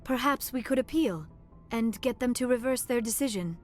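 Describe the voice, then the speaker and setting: A woman playing an earnest, devoted ally in a science fiction video game, sincere and gentle. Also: on the high side, expressive, moderate pace, faint music behind, close to an everyday voice. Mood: indignant, earnest. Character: earnest, devoted young female ally of the commander. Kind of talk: cinematic game voice acting.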